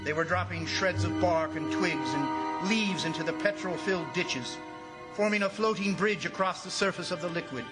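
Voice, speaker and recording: An actor playing an anxious district commissioner who narrates a jungle disaster in grave, urgent old-time radio style. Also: male voice, sits high, normal speed, over loud music, lightly acted. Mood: grave, tense.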